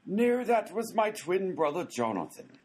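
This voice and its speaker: A performer acts out a cranky postal clerk in a radio comedy, with a husky voice that swings widely in pitch. The delivery is flippant, sarcastic and theatrical, with impatient jabs. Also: big pitch swings, measured pace, a little mellow.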